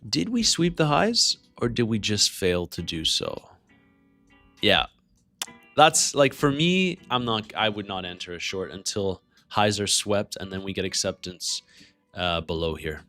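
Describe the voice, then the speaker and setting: Man, low voice, casual livestream host, faint music behind. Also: big pitch swings, unhurried, bright, forceful tone. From Canada. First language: French. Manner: conversational, greets and answers chat. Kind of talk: casual livestream market commentary.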